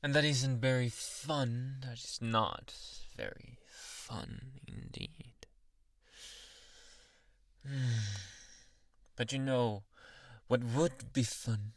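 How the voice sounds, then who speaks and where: A man playing a jealous, possessive, unhinged young anime boyfriend in an ASMR roleplay, slipping between low speech and breathy whispers, menacing yet coaxing, close to the mic. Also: a bit bright, no echo. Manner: menacing, teasing, drawn-out coaxing endearments. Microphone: close-up ASMR microphone, dry.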